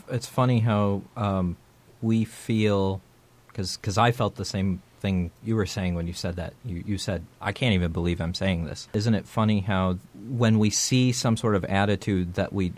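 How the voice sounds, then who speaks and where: A man hosting a podcast, talking things through in a thoughtful, hesitant way and often repeating his words. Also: low-pitched. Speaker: podcast host. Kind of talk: casual discussion.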